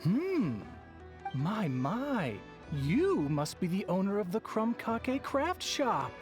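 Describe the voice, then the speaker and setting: Shrewd female merchant in a game, slow drawl, coaxing, sly, varied pitch. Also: very animated. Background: game music.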